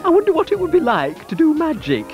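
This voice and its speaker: A man's voice: very high-pitched, huge pitch swings, fast talker, darkish tone, faint music behind, voice pushed up, exaggerated voice. A man voicing a curious, polite young female cow in a children's storybook. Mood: dreamy, eager.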